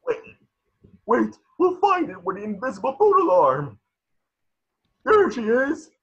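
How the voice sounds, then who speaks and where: A man playing a doddering, bombastic old superhero in a cartoon table reading, with a grandiose, befuddled delivery and a husky voice. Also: very high-pitched, big pitch swings, a little slow, fairly bright tone, highs cut off, full character voice.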